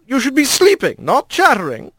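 A man voicing a gentle, proper old grandmother opossum in a storybook reading, prim and measured. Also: extremely high pitch, wildly swooping pitch, husky, no room sound, voice pushed up, heavily acted. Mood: fond.

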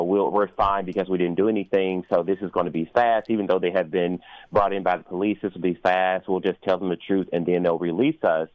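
Very deep, smooth-voiced man. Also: no echo, lo-fi sound. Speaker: opera performer and guest. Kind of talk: thoughtful interview talk.

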